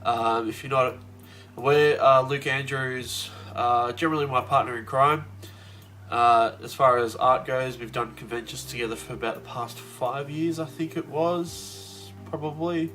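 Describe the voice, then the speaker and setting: Young guy, kinda sniffly, chatty art vlog, mid-pitch, bit of music behind. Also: a little slow. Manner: relaxed, rambling, many fillers. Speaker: comic artist vlogger. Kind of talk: casual video diary.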